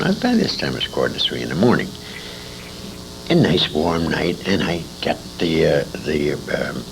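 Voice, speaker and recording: Old american guy spinning salty stories, low crackly voice, swings up and down a lot, bit of background noise. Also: gravelly. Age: older. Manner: salty, emphatic, repeats phrases for effect. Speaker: interview guest, old-time radio veteran. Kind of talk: animated anecdotal storytelling.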